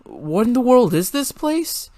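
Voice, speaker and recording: A man's voice: extremely high pitch, very animated, unhurried, warm tone, dry recording, voice pushed up, full character voice. A man reads the part of an uneasy boy in a fantasy story. He is sheepish and hesitant, unsure of everything around him. The recording is dry. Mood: confused, uneasy. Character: confused, sheepish, uneasy boy newcomer in a hoodie.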